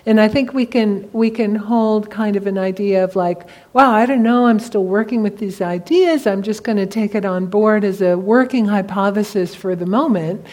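A woman's voice: medium pitch, normal speed, few pauses, a little mellow. A woman teacher of Tibetan Buddhism answering on a discussion panel, talking casually and telling stories with animation.

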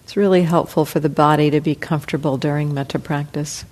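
A woman teacher leading a guided meditation, speaking softly and calmly with long pauses. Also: sits low. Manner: slow, soft, calm, with long pauses.

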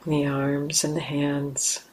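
A woman leading a guided meditation, speaking calmly and gently with long, unhurried pauses. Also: low-pitched, a little hiss or hum, rough recording. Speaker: meditation teacher.

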